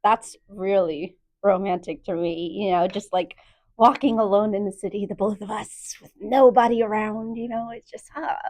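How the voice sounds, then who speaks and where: Woman, 23, mid pitch, meandering, casual, lots of fillers. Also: steady tone, normal speed, darkish tone. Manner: dreamy, meandering, many "like"s. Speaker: contestant. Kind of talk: casual interview answers.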